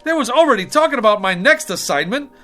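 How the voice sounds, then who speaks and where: High-pitched, slangy, anxious hustler. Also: male voice, sing-song, quick pace, sharp tone, exaggerated voice. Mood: dreamy, boastful. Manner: slangy, wheedling, street-wise grammar. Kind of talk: comic TV drama acting. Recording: music under the dialogue.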